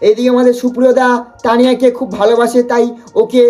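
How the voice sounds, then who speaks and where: A person hosting a comic roast in a mocking, exaggerated, excitable way. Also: medium pitch, fast. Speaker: prank video host and roaster. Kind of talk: teasing comic roast commentary. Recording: music behind the voice. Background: music.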